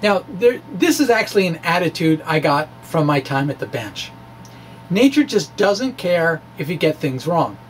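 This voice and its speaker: A man giving a dramatic explanatory reading as a presenter, halting and hesitant, with emphatic turns. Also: on the high side, big pitch swings, a little hiss or hum. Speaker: amateur physicist presenting his blog. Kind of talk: dramatic reading of a physics blog, explanatory talk.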